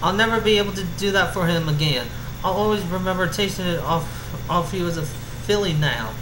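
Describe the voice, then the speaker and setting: Man doing an excitable high-pitched little filly. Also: a little fast, close to an everyday voice. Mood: upset. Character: excitable young filly little sister, cartoon pony. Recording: roomy echo with clear background hum.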